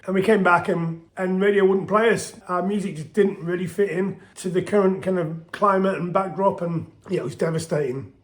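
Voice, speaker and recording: A middle-aged man with a soft voice, casually recalling his band's history in a chatty, rambling way full of restarts and fillers. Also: high-pitched, fairly even intonation, moderate pace, few pauses. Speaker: band singer telling its history. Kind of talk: casual spoken storytelling to camera.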